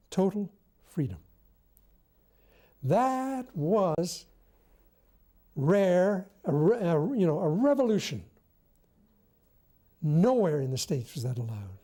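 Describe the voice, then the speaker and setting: An older man telling stories from memory in an interview, deliberate, with pauses between short phrases. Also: sits high, dark tone. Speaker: interviewee recalling radio drama history. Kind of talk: reflective storytelling.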